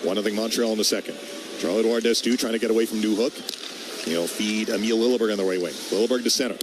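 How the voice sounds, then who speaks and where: A man calling live hockey play-by-play on the radio, naming each move in clipped, rapid-fire phrases. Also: lowish voice, fairly even intonation, background noise. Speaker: play-by-play announcer.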